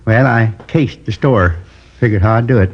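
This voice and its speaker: An actor playing a lonely man in his seventies who confesses to a robbery in a slow, rambling, wistful way, in 1950s radio drama acting. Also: on the low side, natural voice. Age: 70s. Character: lonely old male drifter turned robber. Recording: dull old radio broadcast.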